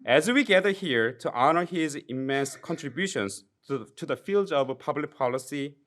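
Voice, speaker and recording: Young Korean-accented man introducing a professor from the podium, measured and formal with light self-deprecation. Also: a little high, animated, fairly bright tone. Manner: reads a formal tribute with occasional self-deprecating jokes, some restarts. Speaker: PhD graduate introducing a speaker. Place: university lecture hall. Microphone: podium microphone.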